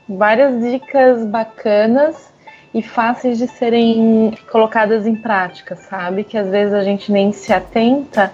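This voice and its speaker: A woman industrial designer chatting casually on a podcast, relaxed as she gives tips. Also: fairly high pitch. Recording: dull lo-fi sound with faint music.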